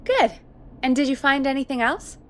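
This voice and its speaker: A woman's voice: sits high, expressive, normal speed, slight background noise. Dry, bossy female radio producer. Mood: brisk, businesslike. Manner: dry, teasing. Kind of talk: video game voice acting.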